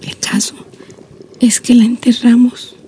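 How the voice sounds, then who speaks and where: An actress playing a lonely, wistful old blind village grandmother in a radio drama monologue, breathy and creaky, reflecting with sighs and long pauses. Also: fairly high pitch, little pitch movement, mellow, in a whisper, natural voice. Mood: sad, reminiscing. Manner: slow reflective storytelling, sighing interjections, long pauses.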